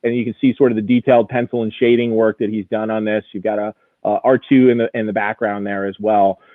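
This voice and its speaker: A man presenting auction lots in an informal live preview over a video call, enthusiastic and detailed, often pausing to find his phrasing. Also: on the low side, level tone, no room sound, phone-quality sound.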